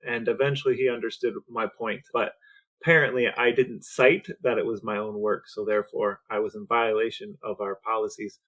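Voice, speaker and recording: A man's voice: on the low side, flat delivery, a little fast, a bit bright, poor audio. A man with an American accent co-hosting a podcast in casual, conversational discussion. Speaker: podcast co-host.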